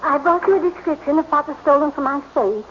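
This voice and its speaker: An actress playing a wealthy, imperious, middle-aged society matron with a theatrical manner in a 1940s radio drama. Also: female voice, high-pitched, animated, fast. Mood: poised. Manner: haughty, theatrical. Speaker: radio actor. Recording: muffled, noisy 1940s radio broadcast.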